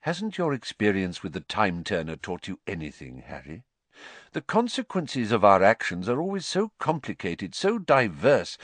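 A man voicing a quiet, wise old headmaster. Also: mid-range voice, dry recording, voice pushed up. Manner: quiet, measured, soft-spoken, reflective. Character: wise, gentle elderly male wizard headmaster. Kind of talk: audiobook character voice.

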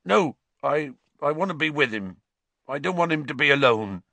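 A man voicing a big, tender-hearted man in an audiobook, husky and full of emotion. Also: on the high side, lively intonation, moderate pace, pushed and bright, no room sound, pitched above the performer's own voice, heavily acted. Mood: anxious sadness. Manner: emotional, exclamatory.

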